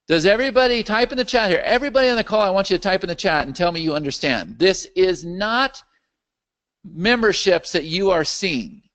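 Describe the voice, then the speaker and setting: A man hosting a live question-and-answer video call for his software's users. His voice is worn from a long day of talking, and he keeps checking that his listeners are following. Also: high voice, brisk. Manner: animated, checks in with the audience often. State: losing his voice. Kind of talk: live webinar Q&A with screen share.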